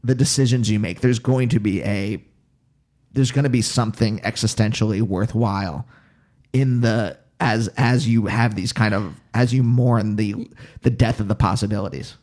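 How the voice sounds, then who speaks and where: A man with a Pennsylvania accent touched by New York, hosting a casual podcast conversation and thinking aloud in halting, self-interrupting phrases. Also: on the low side, darkish tone. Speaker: podcast host.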